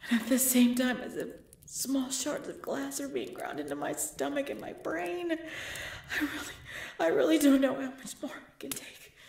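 Slow-spoken, suffering vampire woman, acted by a woman. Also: high-pitched, full character voice. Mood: pained, desperate. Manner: slow, formal, dramatic, often dropping to whispers. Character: proud, suffering pregnant vampire woman, leader. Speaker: voice actor in ASMR roleplay. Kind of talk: ASMR dramatic roleplay acting.

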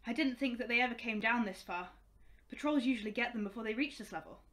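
A woman's voice: on the high side, fast talker, a bit bright, close to an everyday voice. Woman as a grumbling, sceptical young man. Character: Alan, grumbling, sceptical young male companion. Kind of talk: amateur radio drama acting.

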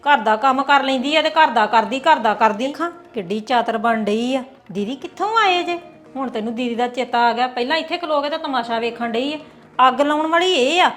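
A woman's voice: high voice, brisk, faint music behind, close to an everyday voice. An actress playing a quarrelsome woman of the household who mocks and scolds another woman. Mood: scornful. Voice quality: scornful, mocking. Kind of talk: family drama acting.

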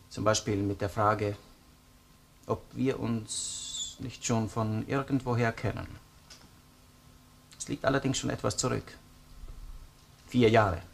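A man's voice: lowish voice, gravelly, slight background noise. A man with an Austrian accent playing a relentless, sardonic police detective who questions a suspect insistently in a crime radio play. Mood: coldly sardonic. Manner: probing, insistent, sardonic, deliberate phrasing. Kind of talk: German-language crime radio play acting.

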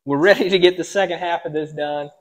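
Man, thirties, upbeat sawmill vlog host, slightly high voice, big shop room. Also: steady tone, fast talker, heavy background noise. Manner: upbeat, plain-spoken. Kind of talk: casual workshop vlog chat. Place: large indoor sawmill shop.

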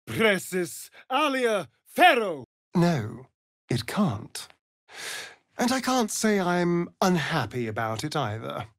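A man's voice: fairly high pitch, fairly bright tone, dry recording, clean studio sound, full character voice. A male actor playing a sardonic, flirtatious vampire rogue in a video game, with an arch, mocking, theatrical drawl. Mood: wry. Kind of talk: video game character voice lines.

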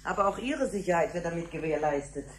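A polite, admiring office employee played by a woman in an old radio play. Her intonation is lively and varied, and the room echoes around her with a little noise. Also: on the low side, fast, lightly acted. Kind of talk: 1970s German radio drama acting.

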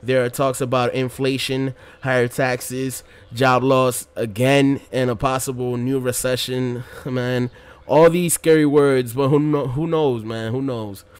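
A man's voice: mid-range voice, level tone, a little slow, clean tone. Casual young man commentating over gameplay. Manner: slangy, laid-back rambling address to viewers. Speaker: gaming video commentator.

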